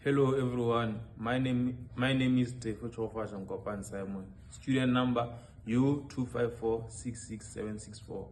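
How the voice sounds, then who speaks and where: A young man gives a hesitant student introduction to an audience. His pitch is average, and a little noise can be heard behind him. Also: fairly even intonation, moderate pace, a little mellow. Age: young adult. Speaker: student puppeteer.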